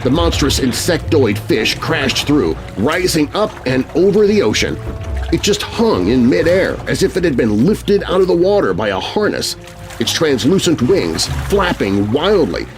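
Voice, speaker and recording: A man narrating a scary story for children in a theatrical, suspenseful, scripted style. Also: medium pitch. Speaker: narrator and host.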